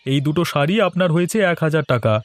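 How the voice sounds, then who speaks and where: A man's voice: fairly high pitch, very fast, no room sound, lightly acted. A man voicing a mild, put-upon young village shopkeeper husband in a cartoon, with a placating, plaintive manner. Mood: polite, harried. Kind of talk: Bengali cartoon voice acting. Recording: music behind the voice.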